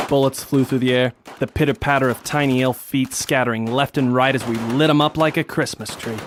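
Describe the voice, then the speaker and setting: A man playing a determined, hard-boiled police detective in a noir radio drama, narrating and speaking in a terse, deadpan way. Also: medium pitch, a little fast, lightly acted. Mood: grim, determined. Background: music.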